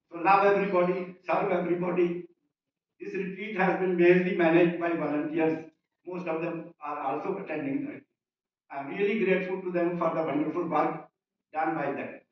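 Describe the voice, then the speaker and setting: A man from Singapore giving an earnest, informal testimonial to a group at a retreat, speaking in short, plain sentences. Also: high voice. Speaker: retreat participant sharing a testimonial. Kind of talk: informal public sharing. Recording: echoey hall, distant mic, dull sound.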